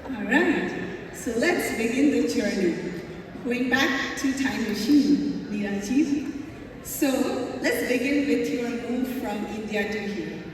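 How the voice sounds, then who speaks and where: High-voiced woman moderating on stage. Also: slow pace. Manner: formal, reads a prepared introduction. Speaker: event moderator. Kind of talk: stage introduction and interview. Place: large event hall. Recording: echoey hall, distant mic.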